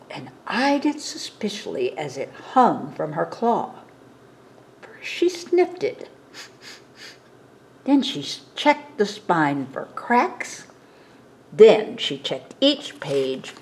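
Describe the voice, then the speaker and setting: A woman reading a picture book aloud to children as a storytime reader, in a dramatic, expressive manner. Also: medium pitch.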